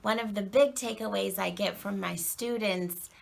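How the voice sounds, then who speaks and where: A woman working as an acting and presentation coach, speaking in a naturally soft voice in an earnest, measured recorded statement with frequent ums. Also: mid-range voice, vocal fry, a little hiss or hum.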